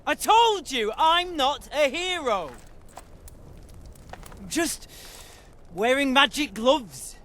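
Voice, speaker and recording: Doubtful young hero, high and very lively voice, slow and earnest. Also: male voice, slight background noise, exaggerated voice. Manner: earnest, hesitant. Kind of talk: video game cutscene acting.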